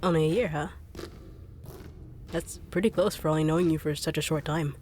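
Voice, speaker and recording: A woman voicing a fast-talking, no-nonsense, teasing bodyguard in an audio roleplay. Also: low voice, steady tone, a little mellow, slight background noise, close to an everyday voice. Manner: dry, teasing, blunt. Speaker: voice actor.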